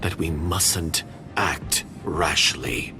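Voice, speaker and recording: A man's voice: extremely low pitch, extremely slow, over music, heavily acted. An actor playing a secretive, disdainful male conspirator in a video game, guarded, formal and skeptical. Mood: guarded, stern.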